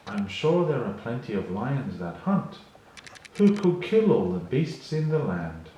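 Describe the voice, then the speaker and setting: A man's voice: medium pitch, very animated, measured pace, mellow, heavy reverb, a little hiss or hum, rough recording. A man voicing a kind, bewildered young lion cub with an earnest, pleading manner for a storybook.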